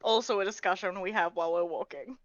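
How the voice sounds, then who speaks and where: A woman playing in a tabletop game, making quick joking remarks in casual banter. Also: medium pitch, dry recording, dull audio. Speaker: player.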